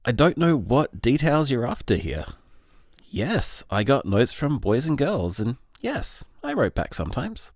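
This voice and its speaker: A man playing a gentle, bashful, overthinking young boyfriend in a casual audio drama, mild and hesitant. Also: mid-range voice, very animated, measured pace, no echo, studio quality. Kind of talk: audio drama voicemail monologue, casual and conversational.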